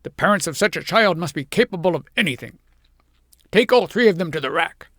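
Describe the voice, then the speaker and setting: A storybook reader voicing a pompous, tyrannical king who threatens loudly. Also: medium pitch, very animated, normal speed, gravelly, no room sound, clean studio sound, pitched above the performer's own voice. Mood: raging.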